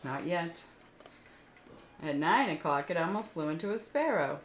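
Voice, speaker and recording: A woman with a fairly low voice tells children's stories aloud. She reads expressively and pauses to explain things to young listeners. The recording is roomy, with faint background noise. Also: expressive. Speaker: children's story reader. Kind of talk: storytelling read aloud for children, with asides.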